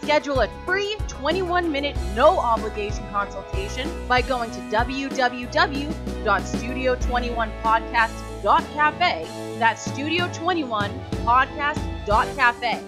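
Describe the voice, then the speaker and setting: Upbeat high-voiced woman reading an ad. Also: lively intonation, unhurried, hardly any pauses, over music. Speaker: ad announcer. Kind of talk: scripted studio ad read.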